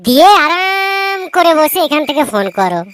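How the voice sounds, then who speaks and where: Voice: high-pitched, sing-song, normal speed, a crowd in the background. A performer voicing a cheeky, argumentative village youngster with a West Bengal accent in a comedy cartoon. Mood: cheeky, nonchalant. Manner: cheeky, argumentative, mock-innocent. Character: cheeky squeaky village youngsters needling their uncle.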